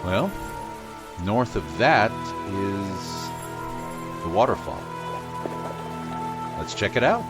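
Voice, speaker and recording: A man's voice: fairly low pitch, sing-song, extremely slow, long pauses, heavily acted. A man playing a teasing loyal companion. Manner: teasing, easygoing banter. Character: loyal male companion, teasing and warm-hearted. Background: background music.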